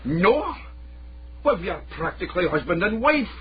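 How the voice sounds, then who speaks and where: A man's voice: sits high, big pitch swings, normal speed. An actor playing a sly, scheming Scottish man in a radio drama, theatrical and given to repeating his phrases. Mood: mock outrage. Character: sly scheming Scottish man, blackmailer, mock-outraged and wheedling. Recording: muffled, noisy old radio drama.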